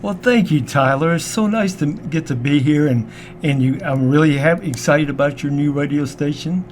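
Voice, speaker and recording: An older man from Memphis with a strong Southern Tennessee accent talks eagerly and warmly, often doubling back on his words. His voice sits mid-range. The recording has clear background noise. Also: a little high, normal speed. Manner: warm, enthusiastic, repeats words. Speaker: guest musician. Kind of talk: casual interview chat. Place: local radio studio.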